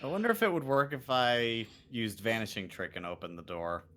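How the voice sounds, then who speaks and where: A man's voice: medium pitch, brisk, sharp tone. A man bantering casually as a player in a tabletop roleplaying game, declaring his actions in a playful, cheeky way.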